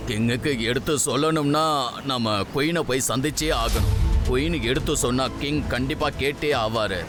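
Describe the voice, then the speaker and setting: A man's voice: mid-range voice, normal speed, over music, lightly acted. A man playing a scheming cartoon royal minister who talks in a falsely friendly, persuasive way. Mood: feigned concern. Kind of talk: Tamil cartoon dub acting.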